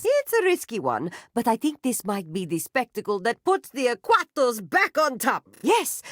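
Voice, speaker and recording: Commanding circus matriarch. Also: female voice, fairly high pitch, fairly bright tone, no room sound, studio quality. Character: strict proud circus matriarch mother, middle-aged. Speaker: voice actress. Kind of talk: video game voice acting.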